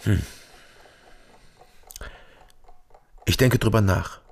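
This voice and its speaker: A man's voice: fairly low pitch, fairly quick, gravelly, darkish tone. An actor playing a laconic East German ex-policeman and private detective in a radio crime drama, terse and matter-of-fact. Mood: guarded. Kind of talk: radio crime drama, first-person narration and dialogue. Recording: music behind the voice.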